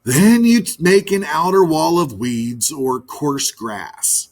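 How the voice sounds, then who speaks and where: An exasperated storybook magpie schoolmistress, acted out by a man, bossy and deliberate. Her words are stretched out slowly, and the pitch climbs and drops as she instructs. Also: lively intonation, a little mellow. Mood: instructing. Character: frustrated female magpie teacher, bossy and exasperated. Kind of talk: storybook character voice.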